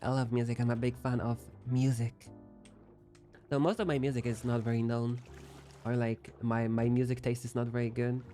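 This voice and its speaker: Brief: young male streamer, mid pitch, loose self-deprecating chatter, light music underneath. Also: level tone, normal speed, dark tone. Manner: rambling, playful, self-deprecating. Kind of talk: casual chat while gaming.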